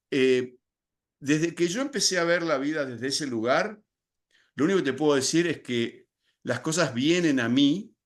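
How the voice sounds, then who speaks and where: Older Argentine man, podcast guest, reflective and explanatory delivery with frequent restarts. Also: medium pitch. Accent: Argentine Spanish. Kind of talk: podcast interview.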